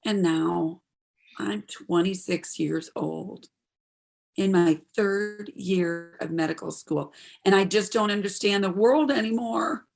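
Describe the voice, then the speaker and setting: A woman performs a medical student daughter in her twenties in a stage play. Her voice sits at a middle pitch. She speaks earnestly and pleadingly, as someone troubled who is confiding in a parent. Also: slow pace, gravelly, a little mellow. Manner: pleading, heartfelt.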